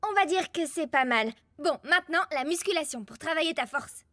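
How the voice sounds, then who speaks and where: A woman voicing an energetic, bossy young girl in a children's cartoon, with a childlike, instructing delivery. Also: high voice, a little fast, no room sound, clean studio sound. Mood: bossy, encouraging. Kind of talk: French children's cartoon voice acting. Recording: loud music behind the voice.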